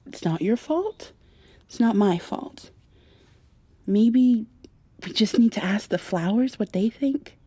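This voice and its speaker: A woman in an ASMR roleplay playing a gentle, wry young witch who coaxes her plants in a soft-spoken way. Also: a little high, lively intonation, slow, pauses often, breathy edge, no echo, full character voice. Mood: wry, coaxing.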